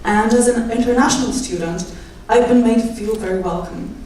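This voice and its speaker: A young woman with a Polish accent giving a measured rally speech with wry, self-deprecating asides. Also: on the high side, darkish tone, recorded from a distance, slight background noise. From Poland. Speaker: guest speaker, doctoral student. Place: large indoor hall at a rally. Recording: echoey hall, mic from afar.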